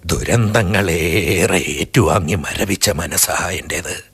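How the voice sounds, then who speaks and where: A man acting an old, grieving retired stationmaster in a radio play, speaking slowly and reflectively. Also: deep voice, gravelly, a bit bright, slightly rough, full character voice. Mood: sorrowful. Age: older. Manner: slow, reflective and lyrical. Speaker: radio drama actor.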